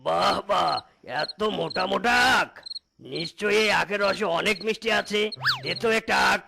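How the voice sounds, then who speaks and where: A man voicing a greedy, hot-tempered gorilla sugarcane trader in a cartoon, loud and exclamatory. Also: high voice, normal speed, gravelly. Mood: greedy delight. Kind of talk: cartoon voice acting. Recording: music behind the voice.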